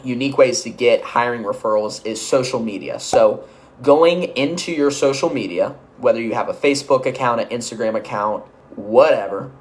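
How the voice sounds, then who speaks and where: A man giving an instructional business-coaching talk, conversational and energetic, checking in often with quick asides. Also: medium pitch. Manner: energetic, conversational, uses filler checks like "okay?" and "right?".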